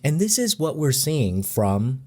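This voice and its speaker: A man speaking in a deliberate, measured way as he comments in casual chat. His voice is dark and mellow, and he takes his time. The pace stays unhurried. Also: medium pitch, big pitch swings. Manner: deliberate, measured delivery with occasional laughs. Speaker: co-host commentator.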